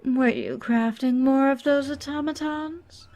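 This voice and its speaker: A woman's voice: high voice, fairly even intonation, a little slow, a little mellow. A woman playing a composed, frank goddess in a fantasy audio drama, with a poised and direct delivery. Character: composed, frank goddess among squabbling deities. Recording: music under the voice.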